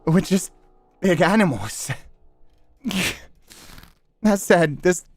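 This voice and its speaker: A man's voice: high voice, very animated, drawn-out words, darkish tone, voice pushed up, exaggerated voice. A man voicing a blunt, exasperated but protective young man in dialogue from a visual novel. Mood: strained, soothing. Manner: blunt, cursing, coaxing. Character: rough-tongued young man, exasperated yet protective of a girl.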